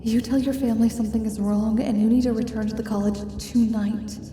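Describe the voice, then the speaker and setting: A woman narrating a fantasy ASMR roleplay in a soft, hushed second-person voice. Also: a little high, fairly even intonation, brisk, roomy sound, big-room sound, far from the mic, slight background noise.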